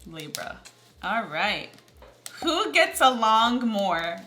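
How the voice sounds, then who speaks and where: A young woman in her twenties hosts a relaxed video chat with her sisters, talking in a chatty, teasing way. Her voice sits a little high and sounds bright. The room around her is echoey. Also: takes it slowly. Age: 20s. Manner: chatty, laughs often, teasing. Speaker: channel host.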